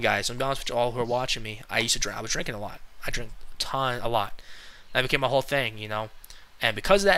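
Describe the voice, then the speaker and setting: A man in his early twenties giving an earnest, casual spoken sermon, halting often with fillers and restarts. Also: medium pitch, bright, forceful tone, rough recording. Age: 20s. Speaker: lay preacher.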